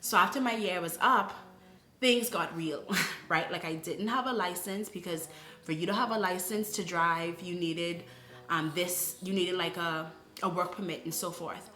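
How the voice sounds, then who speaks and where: A woman's voice: on the low side, moderate pace, bright, forceful tone, reverberant. A woman, earnestly telling her personal story in a casual vlog and often tossing in "right?". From Bahamas. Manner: reflective, earnest, frequent "right?" asides. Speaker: vlogger.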